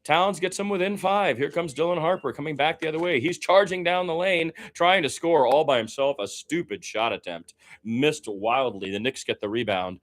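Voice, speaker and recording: A man doing live radio play-by-play of a basketball game, informal and opinionated, swinging between low-key asides and excited calls. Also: moderate pace. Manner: informal, opinionated, mildly profane.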